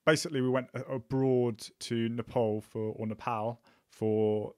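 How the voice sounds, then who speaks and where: A man hosting a podcast, talking in a relaxed, hesitant way with many ums and restarts. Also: mid-range voice, measured pace, dry recording, clean studio sound. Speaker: podcast host. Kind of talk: casual conversational interview.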